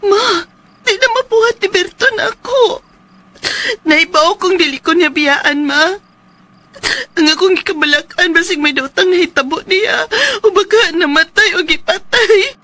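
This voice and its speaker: High-pitched plaintive young Cebuano mother. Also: a little slow, sharp tone, full character voice. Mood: distraught, pleading. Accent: Cebuano Filipino. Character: anxious young mother, abandoned, pleading and emotional. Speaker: radio drama actress. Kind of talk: Philippine radio drama acting.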